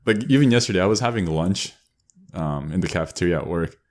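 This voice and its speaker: Young man, deep voice, podcast co-host, casual griping, blunt slang, swearing. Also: animated, quick pace, darkish tone.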